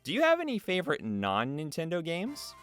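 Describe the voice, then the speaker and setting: A man's voice: fairly high pitch, wildly swooping pitch, brisk, a bit bright, clear, resonant tone. A man hosting a casual interview, asking questions and chatting. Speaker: interviewer, host. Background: video game music.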